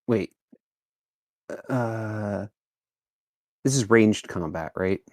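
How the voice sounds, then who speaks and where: A man playing a tabletop game, casually and hesitantly thinking his choices out loud. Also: fairly low pitch, extremely slow, no room sound, studio quality.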